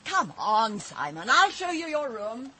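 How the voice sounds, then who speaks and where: A woman's voice: on the high side, big pitch swings, unhurried, bright, forceful tone, a little hiss or hum, exaggerated voice. An actress playing a theatrical, imperious society woman in a British radio drama, effusive and drawling, with a British accent. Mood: breezy, imperious. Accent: British English.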